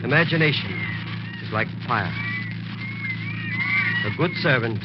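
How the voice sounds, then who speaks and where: An actor playing a firm, sceptical doctor in 1940s radio drama style, on a very noisy old recording. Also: male voice, medium pitch, expressive, measured pace, highs cut off, close to an everyday voice. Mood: grave, dismissive.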